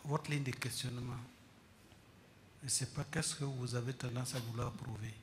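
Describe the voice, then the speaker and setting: Distant presiding judge, formal and measured. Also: male voice, medium pitch, fairly even intonation, slight background noise. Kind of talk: court proceedings. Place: courtroom.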